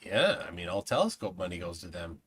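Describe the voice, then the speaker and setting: A man's voice: deep voice, very animated, fairly quick, fairly bright tone, a little hiss or hum. A man in a casual group chat, explaining his point with wry, offhand asides. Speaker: panelist.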